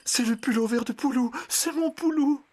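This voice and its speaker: A man putting on an emphatic, high-pitched protective mother. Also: normal speed. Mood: excited, relieved. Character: two anxious, protective mothers, adult women, calling out and forbidding. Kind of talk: children's cartoon storybook narration.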